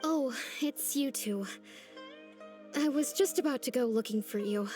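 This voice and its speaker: A woman playing a wistful, sincere young village girl in a video game, with soft music behind her. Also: sits high, a little slow. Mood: grateful, sheepish. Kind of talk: video game dialogue acting.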